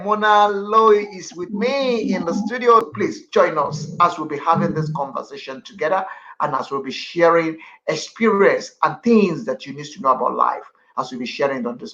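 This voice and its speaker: A man's voice: on the high side, fairly bright tone, lo-fi sound. A man hosting a live radio show from a studio, greeting listeners in a ceremonious, expansive way. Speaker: radio host.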